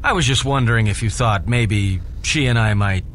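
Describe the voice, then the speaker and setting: A man's voice: lowish voice, normal speed, a bit bright, a little hiss or hum, natural voice. A man playing a brash, insecure and easily riled spacer in a video game, talking in a casual, pushy, defensive way. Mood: awkward probing. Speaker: game character. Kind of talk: conversational game dialogue.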